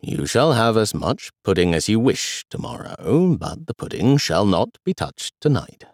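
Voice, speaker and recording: A man doing a gruff, proper old grandfather. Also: fairly low pitch, sing-song, measured pace, no room sound, clean studio sound. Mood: grumbling. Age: older. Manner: grumbling, proper.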